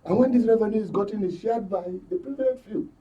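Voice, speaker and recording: A man's voice: high voice, fast, dark tone. Nigerian man, distant muffled mic, emphatic commentary. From Benue, Nigeria. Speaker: studio guest, journalist commentator. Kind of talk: current affairs panel commentary. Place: broadcast studio panel.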